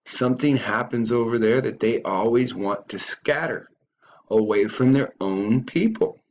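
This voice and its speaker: A man's voice: medium pitch, unhurried. A man giving a ranting reaction commentary on a video, stumbling and repeating words as he goes.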